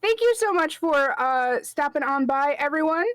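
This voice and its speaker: A woman co-hosting a game stream, chatty and relaxed, thanking viewers and rattling through her intro. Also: high-pitched, normal speed, no echo. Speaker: streamer, co-host. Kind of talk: casual game stream chat.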